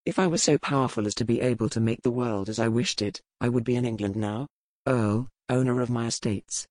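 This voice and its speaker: An actor playing a brooding noble pirate captain in an audiobook, speaking in measured, formal old-fashioned phrasing. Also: male voice, mid-range voice, darkish tone, no room sound, natural voice. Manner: measured, cold, formal period speech. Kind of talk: audiobook character reading.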